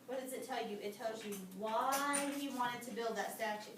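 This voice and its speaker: A high-pitched woman reads aloud as a teacher, pausing to explain and question her pupils in an instructive way. She is recorded at a distance from the microphone in an echoey room, with clear background noise. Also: fairly even intonation. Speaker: teacher reading aloud to children. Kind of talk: read-aloud lesson with prompting questions. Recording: very echoey noisy room, far from mic.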